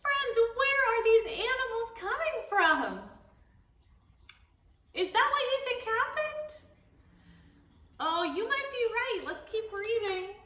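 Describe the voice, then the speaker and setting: Animated preschool storytime woman. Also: very high-pitched, slow pace, long pauses, echoey room, recorded from a distance. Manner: warm, animated, encouraging to children. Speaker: children's storytime host.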